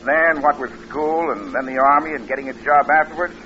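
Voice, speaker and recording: Trusting young motorist, friendly, fairly high bright voice, old radio drama, faintly noisy muffled recording. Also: male voice, a little fast. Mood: frank, open. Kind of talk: 1950s radio drama acting.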